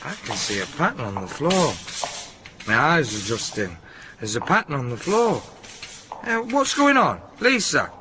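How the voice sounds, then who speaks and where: An actor playing a confused, whiny, complaining boyfriend in a naturalistic radio drama. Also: male voice, a little high, big pitch swings, normal speed, background noise. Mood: bewildered, alarmed. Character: confused, grumbling, hungry boyfriend.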